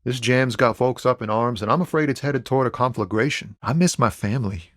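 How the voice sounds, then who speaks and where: Game role: male actor as easygoing trucker-poet, mid-pitched, wry and musing, slangy, close dry recording. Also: fairly quick, a bit bright, no echo, close to an everyday voice. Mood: weary, earnest. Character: laid-back male lorry driver, wry amateur poet, stranded and philosophical. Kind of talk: video game character dialogue.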